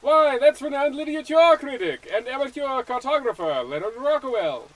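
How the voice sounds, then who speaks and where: Brash radio-comedy newshound: extremely high, animated, slick and exclamatory. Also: male voice, moderate pace. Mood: brash, eager. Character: brash, scoop-hungry old-time male newspaper reporter. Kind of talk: old-time radio comedy acting.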